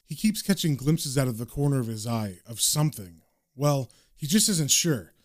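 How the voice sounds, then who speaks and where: A man's voice: medium pitch, expressive, normal speed, natural voice. A man reading as a comic book's caption narrator, dry, knowing and slangy in British idiom. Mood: wry.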